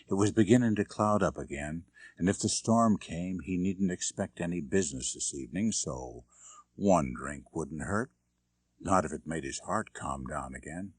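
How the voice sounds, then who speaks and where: Deep-voiced male suspense narrator. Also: husky, no echo. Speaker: audiobook narrator. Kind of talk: dramatic scripted narration of a suspense novel.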